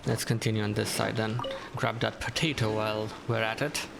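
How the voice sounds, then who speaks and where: A man's voice: lowish voice, flat delivery, brisk, creaky. A man giving casual, easygoing let's play commentary as a streamer, talking to himself over game sound. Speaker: gaming streamer. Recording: game sound and noise behind.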